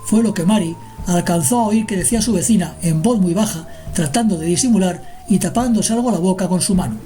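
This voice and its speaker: Mellow female radio drama narrator. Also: fairly low pitch, a little fast. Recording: music behind the narration.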